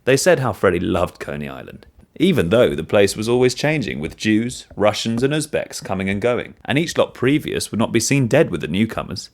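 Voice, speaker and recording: Deep-voiced, animated male story narrator. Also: brisk. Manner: expressive, storytelling. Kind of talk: first-person short story reading.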